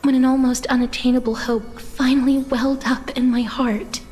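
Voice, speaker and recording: An actress playing a gentle, sorrowful young woman in a game cutscene, with a soft breathy voice and a solemn manner. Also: sits high, little pitch movement, natural voice. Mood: sorrowful resignation. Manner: slow, solemn, melancholy. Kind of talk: scripted dramatic game cutscene monologue. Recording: reverberant hall, music underneath.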